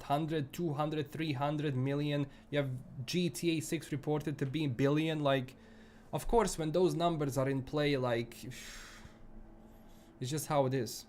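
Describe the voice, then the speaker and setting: A man's voice: a little high, darkish tone. A man with a Bosnian accent chatting casually on a live stream, rambling and restarting his sentences often. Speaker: livestream host.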